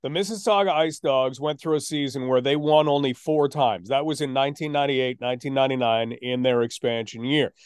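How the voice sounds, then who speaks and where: A man hosting a sports podcast, telling stories conversationally with a deliberate, emphatic build-up. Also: mid-range voice, steady tone, smooth, no room sound, clean studio sound. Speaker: podcast host and sports announcer.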